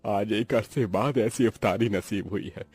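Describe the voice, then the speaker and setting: A man voicing a poor, proud, devout middle-aged uncle in a cartoon story, husky and earnest. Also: fairly low pitch, normal speed, a little mellow, no echo. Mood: moved. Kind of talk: Hindi cartoon story voice acting.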